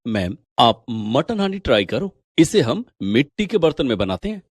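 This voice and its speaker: A man's voice: mid-range voice, big pitch swings, moderate pace, no echo, clean studio sound. A man voicing a deferential servant, polite and eager to please, in a story dramatization. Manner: polite, obliging.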